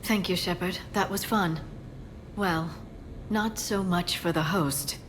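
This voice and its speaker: A woman's voice: fairly low pitch, slow pace, noisy background. An actress playing a composed, sly accomplice in a video game, refined and dry in her delivery. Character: poised, sly woman accomplice at a party. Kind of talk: cinematic video game dialogue.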